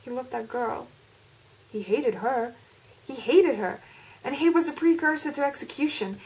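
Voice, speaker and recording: A woman's voice: high voice, rough recording. A woman putting on an American accent as an intense, melodramatic storyteller with dramatic, emphatic delivery. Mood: anguished, vengeful. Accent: put-on American.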